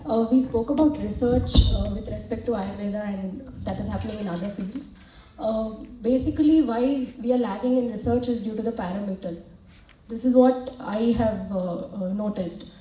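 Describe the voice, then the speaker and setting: A woman's voice: on the high side, warm tone. A young woman asking a lecturer a question from the audience, hesitant with many fillers. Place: lecture hall. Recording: echoey hall, distant mic.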